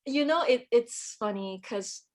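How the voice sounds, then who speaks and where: A woman's voice: on the high side, lively intonation, measured pace. A woman composer from Korea who speaks English, reflecting thoughtfully in an interview and often searching for her words. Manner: reflective, searching for words, many fillers and restarts. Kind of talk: casual conversational interview.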